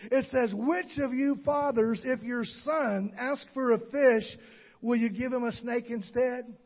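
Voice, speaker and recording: A man preaching a sermon, shouting with call-and-response to the congregation. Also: extremely high pitch, fairly even intonation, measured pace, highs cut off. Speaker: pastor. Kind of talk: Pentecostal sermon.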